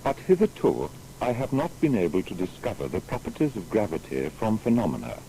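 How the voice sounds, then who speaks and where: A learned, solemn natural philosopher of a bygone age declaims his writings for an audio biography. The voice is lowish and very mellow, with expressive rises and falls. The delivery is formal and deliberate. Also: vocal fry, a little hiss or hum, poor audio. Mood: firm, reasoned. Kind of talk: dramatised reading of historical writings.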